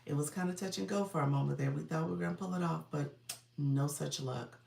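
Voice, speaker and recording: A congested woman in middle age chats casually with viewers on a live stream, calling out names and thanking them. Her voice sits low with some gravel in it. The mic is set back, so the room rings around her. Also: fast, a little mellow, distant mic, slight background noise. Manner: greets viewers by name, chatty and upbeat. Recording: roomy sound, from off the mic.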